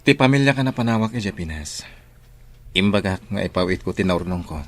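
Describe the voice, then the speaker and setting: Casting: a respectful Filipino employee, lower register, radio drama. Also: male voice, animated, a little fast, soft background music. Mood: deferential. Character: deferential male worker addressing his female boss. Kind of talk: Ilocano radio drama acting.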